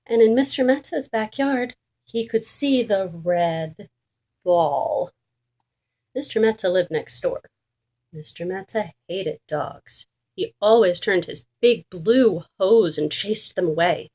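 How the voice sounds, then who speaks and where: A woman reading a children's storybook aloud in an expressive, deliberate and emphatic way for young listeners. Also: mid-range voice. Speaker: children's book reader.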